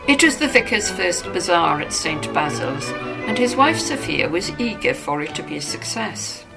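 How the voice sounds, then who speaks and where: A woman's voice: fairly low pitch, sing-song, normal speed, vocal fry, music in the background, low-quality recording. A woman narrating a novel as a scripted, dramatized reading.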